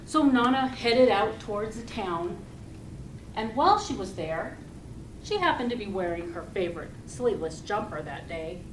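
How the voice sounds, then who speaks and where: A woman giving a rehearsed storytelling speech to an audience, speaking deliberately and expressively with pauses. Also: mid-range voice, animated. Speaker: club speaker giving a tall tale speech. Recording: roomy, distant mic with loud noise.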